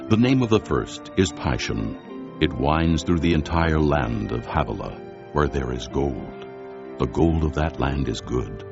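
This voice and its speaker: A man with a gravelly bass voice giving solemn, measured narration of dramatized scripture. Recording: dull lo-fi audio with music behind.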